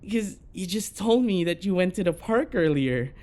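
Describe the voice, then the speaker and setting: A man acting a possessive, jealous yandere boyfriend in an intimate ASMR roleplay, coaxing and passive-aggressive with sweet pet names and quiet menace. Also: sits high, animated, normal speed. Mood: unhinged accusation.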